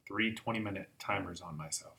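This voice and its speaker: A man's voice: sits low, fairly quick, bright, forceful tone. A young man who works as a life coach, talking earnestly and emphatically in a casual chat.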